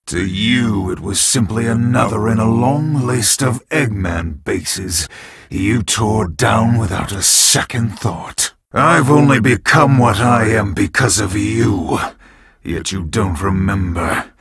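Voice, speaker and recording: Evil husky jackal villain from a video game, haughty n menacing, talks slow and theatrical, big swings in pitch. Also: male voice, animated, a crowd in the background. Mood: bitter, gloating. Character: arrogant, sadistic masked jackal villain drunk on power.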